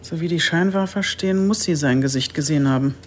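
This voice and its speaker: An actress playing a shrewd, authoritative chief inspector in a radio crime drama, direct and dry. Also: female voice, deep voice, quick pace, slight background noise, close to an everyday voice. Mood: commanding, businesslike. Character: brisk, commanding female police chief inspector, dryly shrewd.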